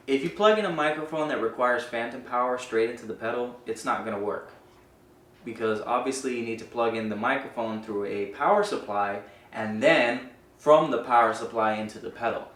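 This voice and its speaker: A man's voice: mid-range voice, moderate pace, fairly bright tone. A man hosting a gear review video, explaining settings in an informal, hesitant, casual way. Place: indoor room with guitar amp. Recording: roomy, distant mic with faint noise.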